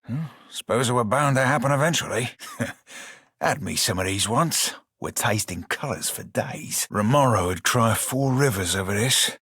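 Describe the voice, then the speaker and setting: Husky, roguish. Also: male voice, medium pitch, moderate pace, a bit bright, no room sound, studio quality. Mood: wry, reminiscing. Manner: salty sailor slang, heavy swearing, wry teasing. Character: roguish blue male pirate shiphunter, crude, jaunty, irreverent. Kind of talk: video game character voice lines.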